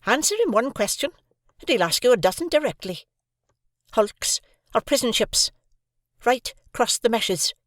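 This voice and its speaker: Storybook shrew: bossy, snapping older sister, voiced by the narrator, pitched up, close dry read. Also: sing-song, moderate pace, fairly bright tone, dry recording. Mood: scolding. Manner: snappish, scolding. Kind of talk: audiobook character voice.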